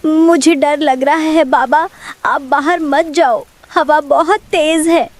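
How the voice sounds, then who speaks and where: A woman's voice: sits high, steady tone, takes it slowly, no echo. A woman voicing a frightened, trusting little village girl in a cartoon story, pleading in a childlike way. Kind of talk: dramatic cartoon story dialogue.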